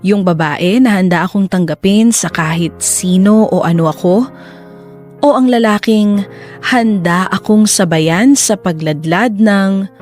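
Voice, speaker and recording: A woman's voice: mid-range voice, a little slow, a little mellow, lightly acted. A woman reading a confused lover's confessional letter. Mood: confiding, troubled. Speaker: letter reader. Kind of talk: scripted first-person confessional narration. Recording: music bed under the voice.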